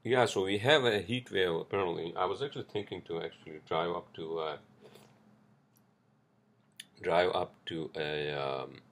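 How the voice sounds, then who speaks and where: A man's voice: low-pitched, normal speed, creaky, pauses often, a bit bright. A man hosting a casual solo podcast, rambling loosely with lots of fillers, restarts and swearing, speaking English with an accent. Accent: non-native English accent. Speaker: podcast host.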